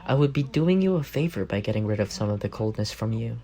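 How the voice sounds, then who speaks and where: A man's voice: on the low side, expressive, fast talker, dark tone, lightly acted. A man playing an affectionate, teasing young roommate in an intimate ASMR roleplay, speaking in a playful, tender way.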